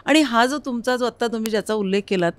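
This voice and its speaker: A woman's voice: fairly high pitch, fast, no echo. A woman speaks as an interviewer in a dry, close sound. Her style is conversational and attentive, with short murmured acknowledgements.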